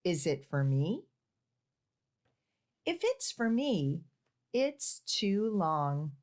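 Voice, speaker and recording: A woman's voice: on the low side, sing-song, extremely slow, a little mellow, heavily acted. A woman reading the part of an eager, childlike little animal in a storybook, pleading and full of questions. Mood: eager, curious.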